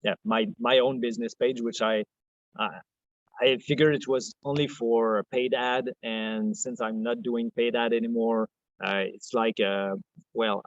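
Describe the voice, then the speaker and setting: A man with a French Canadian accent, a podcast guest talking in a soft, hesitant way, full of restarts and fillers. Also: medium pitch, no room sound, dull audio. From Quebec, Canada. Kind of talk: casual interview chat.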